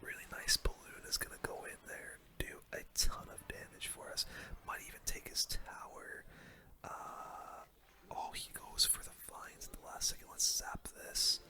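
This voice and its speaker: Whispering ASMR gamer, breathy and crisp. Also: moderate pace. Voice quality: soft breathy unvoiced whisper. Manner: casual gamer slang, murmured play-by-play. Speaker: gaming video host. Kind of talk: ASMR whispered game commentary.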